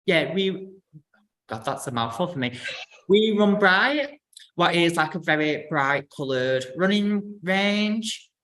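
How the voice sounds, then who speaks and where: A woman's voice: lowish voice, expressive, takes it slowly, fairly bright tone. A woman, hosting a casual live interview in an informal, hesitant, rambling way. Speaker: host of a running channel.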